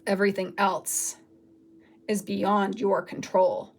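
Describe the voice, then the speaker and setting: A woman reads tarot for her viewers in a loose, wandering way, often pausing to search for words. Her voice is raspy from a recent illness. She talks in a relaxed, casual manner. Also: mid-range voice. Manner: rambling, loose and searching, many fillers. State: raspy from recovering from flu. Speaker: tarot reader. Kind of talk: casual pick-a-card tarot reading.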